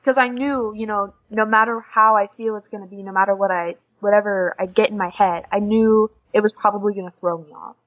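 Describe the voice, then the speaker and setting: A woman's voice: medium pitch, fairly even intonation, brisk, hardly any pauses. An eighteen-year-old woman from Oklahoma with an American accent, giving casual answers as an interview guest. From Oklahoma, United States. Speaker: interview guest, exchange student. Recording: dull lo-fi podcast audio.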